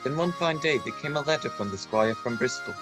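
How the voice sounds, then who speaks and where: A man's voice: mid-range voice, expressive, fairly quick, close to an everyday voice. A man playing the narrator of a radio adventure play, telling his tale in literary phrasing. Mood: storytelling. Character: male narrator recalling his boyhood adventure. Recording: music playing under the voice.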